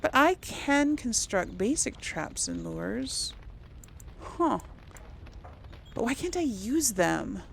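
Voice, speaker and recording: A woman's voice: on the high side, sing-song, slow, vocal fry, pauses often, a little hiss or hum. A woman giving casual video game commentary, conversational and musing as she thinks aloud and talks herself through choices. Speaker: let's play host.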